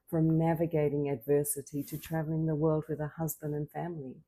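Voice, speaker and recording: A woman's voice: sits low, mellow, breathy edge, low-quality recording. A woman in her fifties hosting a podcast, reading a welcoming intro and then asking interview questions in a conversational way. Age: 50s. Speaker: podcast host.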